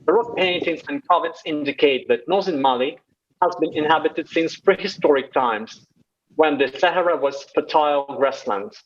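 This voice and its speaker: Male co-host, reads the intro carefully, slightly high, lo-fi sound. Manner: reading from a script, careful and measured. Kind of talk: read-aloud introduction.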